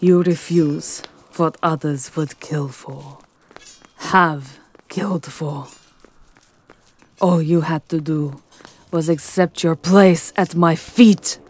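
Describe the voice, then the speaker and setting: Woman doing a haughty seductive vampire countess for asmr roleplay, lowish breathy voice, draws her words out, possessive. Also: steady tone, darkish tone. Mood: proud, indignant. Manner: theatrical, deliberate, drawn-out phrasing with breathy whispers.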